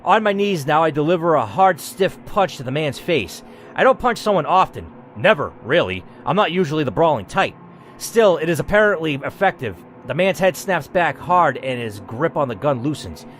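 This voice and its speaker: Male gaming host, lively and slightly high-voiced, dramatic story narration mixed with sweary off-the-cuff commentary. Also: moderate pace, slight background noise. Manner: theatrical, expressive reading with blunt, sweary asides. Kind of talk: dramatic narration of a text adventure with casual asides.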